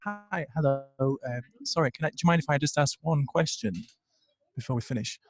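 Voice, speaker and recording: A man's voice: fairly high pitch, fairly quick. A man asking questions as a participant on an online call, polite and tentative in how he puts them.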